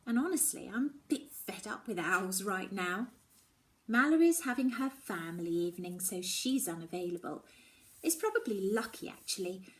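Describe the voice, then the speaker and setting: A woman reading a children's novel aloud in the first person, with expressive, dramatic emphasis. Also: mid-range voice. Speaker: storybook reader.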